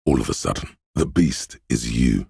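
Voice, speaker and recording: Very deep, gravelly voice of primal dread. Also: male voice, lively intonation, warm tone, dry recording, studio quality, heavily acted. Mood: menacing relish. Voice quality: deep, gravelly, husky rasp. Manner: slow, ominous, goading, profane. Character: menacing, paranoid primal fight-or-flight instinct speaking inside a detective's head. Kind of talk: dramatic video game voice acting, second-person inner voice.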